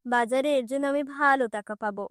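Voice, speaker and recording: A woman plays a hard-up, weary mother in a cartoon fairy tale. She is bitter and scolding, with a higher-pitched voice in a dry recording. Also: a little fast, clear, resonant tone, no echo, close to an everyday voice. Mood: scolding, brisk.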